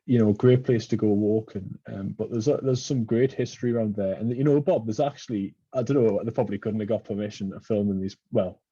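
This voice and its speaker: A man's voice: lowish voice, fairly quick, few pauses, mellow, breathy edge, dry recording, muffled recording. A man with a Geordie accent, hosting a podcast in casual chat and telling long, winding stories full of fillers. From Newcastle, England. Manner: rambling storyteller with many fillers. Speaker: podcast host.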